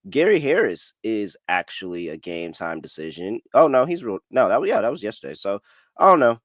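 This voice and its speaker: Low-voiced male sports podcast host. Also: sing-song, a little fast, a bit bright, no room sound, studio quality. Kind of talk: casual chat, ad reads and betting picks.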